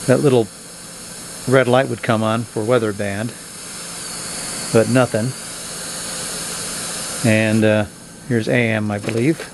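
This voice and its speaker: A man talks through a review of a cheap radio in an offhand, blunt way, thinking aloud as he goes. His voice sits in a middle pitch. A faint hiss runs under it. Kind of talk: casual product review. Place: indoors at a tabletop.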